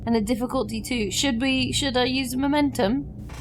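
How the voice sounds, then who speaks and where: A woman's voice: sits high, steady tone, normal speed, background noise. A woman chatting casually and playfully as a player in a tabletop role-playing game.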